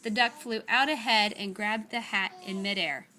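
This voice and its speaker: A woman reads a children's story aloud in a bright, clear-cut storytelling style. Her phrasing is deliberate and dramatic, with pauses. There is some faint noise behind her. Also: mid-range voice, steady tone. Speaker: storyteller reading a picture book aloud. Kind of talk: expressive read-aloud narration of children's story.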